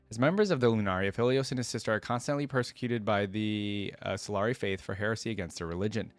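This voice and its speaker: A man's voice: lowish voice, no echo. A man hosting a reaction video, reading wiki text aloud and thinking aloud in an informal, curious way.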